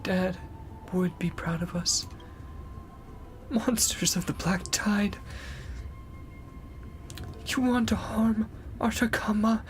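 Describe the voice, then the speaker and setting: A woman playing a desperate, loyal young warrior in a dramatic game scene, with a breathy voice. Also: medium pitch, slow pace, long pauses, soft background music, natural voice. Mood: defiant, grieving.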